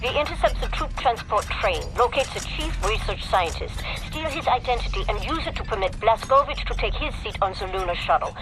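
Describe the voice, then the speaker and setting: A woman's voice: fairly high pitch, animated, music in the background, lightly acted, poor audio. Woman playing a commanding resistance leader. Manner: brisk, authoritative, deliberate. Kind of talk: video game cutscene acting.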